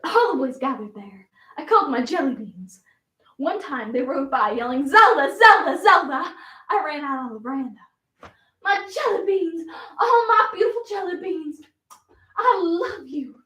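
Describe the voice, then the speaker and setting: A woman's voice: high voice, expressive, fairly quick, a bit bright. A woman playing a flamboyant, wistful Southern belle flapper in a theatrical stage monologue. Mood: playful reminiscing, then girlish delight. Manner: dramatic, swinging from coy reminiscence to defiant outbursts. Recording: roomy echo, distant microphone.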